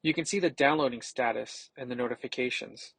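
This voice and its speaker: A man narrating a scripted product demo as a tech reviewer, casual and explanatory, with a gravelly, slightly husky voice. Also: mid-range voice, a little fast, a bit bright, dry recording.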